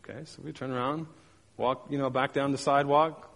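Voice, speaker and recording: A man's voice: mid-range voice, steady tone, brisk, vocal fry, a bit bright, big-room sound. A man delivering a sermon, telling personal stories with emphatic repetition and playful sound effects. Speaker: pastor.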